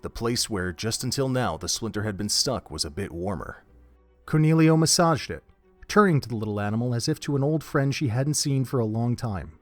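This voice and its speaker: A man's voice: mid-range voice, animated, a little fast, no echo, soft background music. A man reading scripted narration for an audio drama, telling the story in prose.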